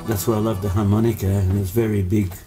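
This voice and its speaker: A man's voice: on the low side, level tone, fast talker, dark tone, soft background music. A man, born in Hungary, telling stories as a musician guest in a casual interview, rambling with many fillers and restarts.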